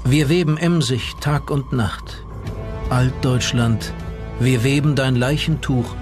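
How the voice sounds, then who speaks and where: A man recites as a bitter, grim 19th-century poet. The verse comes very slowly, like an incantation, with music clearly behind it. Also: mid-range voice, natural voice. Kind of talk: dramatic poetry recitation.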